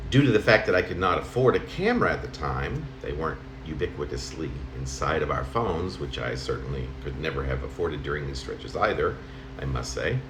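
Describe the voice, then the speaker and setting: A middle-aged or older man reading his own letter-like poem aloud in a halting, conversational way, correcting himself as he goes. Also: deep voice, a bit bright. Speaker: poet reading own work. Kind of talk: spoken poem reading in the form of a personal letter. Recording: roomy home recording, faint noise.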